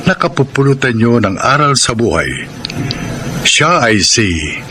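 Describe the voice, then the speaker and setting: A man's voice: mid-range voice, very animated, a little slow, sharp tone, a little hiss or hum. A man with a Filipino accent giving a dramatic scripted introduction as a radio drama announcer.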